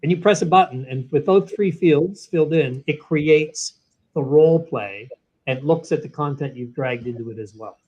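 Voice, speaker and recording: Guy on an interview walking thru a product step by step, fairly high voice, animated. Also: moderate pace, muffled recording. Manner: animated, walks through steps one by one. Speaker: guest, sales training software executive.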